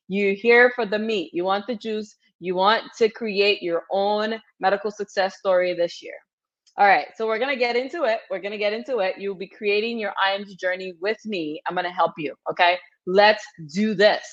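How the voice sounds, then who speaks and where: A woman from Cameroon, a physician in her thirties, hosting an energetic webinar and coaching her audience directly, often checking in with "okay?". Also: medium pitch. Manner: upbeat, direct, repeats cues like "okay?" to the audience. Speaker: physician hosting a webinar for international medical graduates. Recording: slightly dull lo-fi webinar audio.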